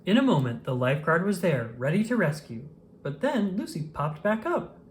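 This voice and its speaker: Animated woman reading a storybook aloud. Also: on the low side, normal speed, slight background noise. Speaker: storybook reader. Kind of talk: children's read-aloud narration.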